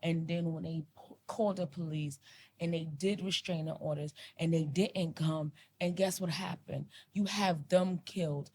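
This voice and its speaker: A woman's voice: fairly low pitch, fairly even intonation, moderate pace, warm tone, slight background noise. A woman co-hosting a podcast, speaking with passion, repeating herself as she pleads with listeners. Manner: impassioned, repetitive, pleading. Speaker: podcast co-host. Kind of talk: earnest podcast discussion.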